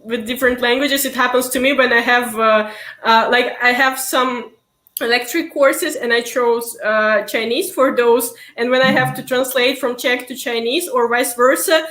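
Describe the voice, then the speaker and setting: Young woman student guest, hesitant and laughing, slightly high voice, echoey room. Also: fairly even intonation, normal speed, bright, forceful tone. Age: young adult. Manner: hesitant, many ums, laughs easily. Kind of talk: casual interview.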